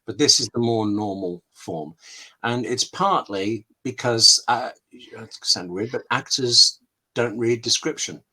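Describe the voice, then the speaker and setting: A man's voice: medium pitch, unhurried, pushed and bright, breathy edge, poor audio. A man being interviewed as a scriptwriter on an online call, explaining with examples and anecdotes in a candid way, often interrupting himself. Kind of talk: explanatory webinar chat with examples.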